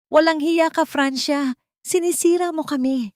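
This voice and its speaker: Scheming elderly mother-in-law for audio drama, done by a woman reader; raised pitch, dry sound. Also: measured pace, a little mellow, no room sound. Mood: furious. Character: cruel scheming old mother-in-law, enraged or pleading. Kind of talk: Tagalog audio drama storytelling.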